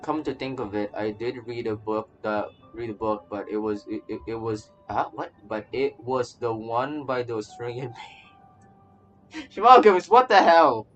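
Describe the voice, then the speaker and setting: A man's voice: medium pitch, unhurried, a bit bright, lo-fi sound. A man streaming a game with casual live commentary, rambling and stammering as he repeats his phrases. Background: game music.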